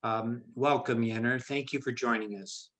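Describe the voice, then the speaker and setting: A man hosting a faculty interview, friendly and a bit halting with restarts. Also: medium pitch, steady tone, fairly bright tone. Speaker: interview host, business law lecturer.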